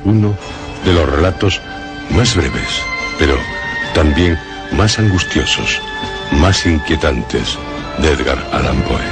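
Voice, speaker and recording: Male radio horror presenter: extremely low, unhurried, solemn literary introductions over loud music. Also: lively intonation. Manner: solemn, deliberate, dramatic pauses. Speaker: presenter of a radio drama show.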